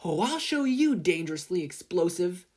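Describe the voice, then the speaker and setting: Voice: medium pitch, big pitch swings, normal speed, darkish tone, pitched above the performer's own voice. A performer voicing a furious, jealous teenage girl inventor, shrieking, in an audiobook style. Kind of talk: audiobook-style character acting.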